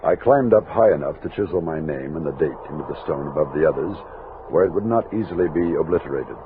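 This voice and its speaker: An actor playing a steady army captain who narrates and gives firm orders in a 1960s Western radio drama. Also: male voice, very deep voice, fast talker. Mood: measured, reflective. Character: steady commanding army captain leading a frontier expedition. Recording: thin lo-fi old radio recording, hiss.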